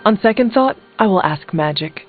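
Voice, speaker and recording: An actress playing a dry, blunt, impatient warrior heroine in a video game, talking tersely. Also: female voice, medium pitch, expressive, normal speed, natural voice. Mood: sardonic. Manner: terse, sardonic. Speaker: video game character actor. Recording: thin phone-like band, music behind.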